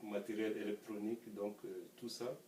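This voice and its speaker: A middle-aged man with a francophone African accent, recounting his experience as an interview guest in a measured way, often pausing for words. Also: fairly low pitch, little pitch movement, dark tone. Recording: echoey room, distant mic, faint background noise.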